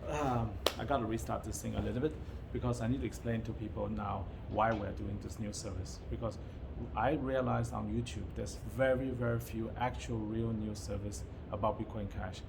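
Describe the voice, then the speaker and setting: A man's voice: on the low side, steady tone, fairly quick, vocal fry, noisy background. Rambling man vlogging to camera. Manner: playful mock-secretive, rambling with fillers. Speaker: YouTuber vlogger. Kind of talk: casual vlog to camera. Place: public venue with outside view.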